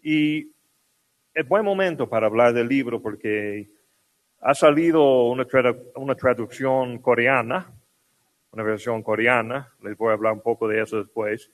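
A man's voice: medium pitch, measured pace. Man speaking Spanish with an English accent. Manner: relaxed, self-deprecating, with fillers like "este". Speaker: guest lecturer. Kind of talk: academic lecture.

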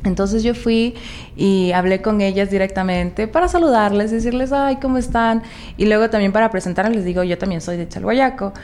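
A woman's voice: mid-range voice, fairly quick, darkish tone, slight background noise. A woman from Ecuador's Valle del Chota with an Ecuadorian Spanish accent, talking casually and animatedly as an interview guest. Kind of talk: casual conversational storytelling.

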